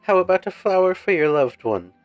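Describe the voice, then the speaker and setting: A man's voice: very animated, a little fast, dry recording, highs cut off, faint music behind. A man doing a coaxing flower seller woman. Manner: coaxing sales pitch.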